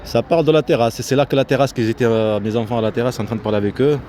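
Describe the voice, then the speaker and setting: A man giving a casual, rambling vlog commentary in a halting, repetitive way, often breaking off his own sentences. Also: mid-range voice, steady tone, warm tone. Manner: halting, repetitive, self-interrupting. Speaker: vlogger. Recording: noisy outdoor recording with vehicle sounds.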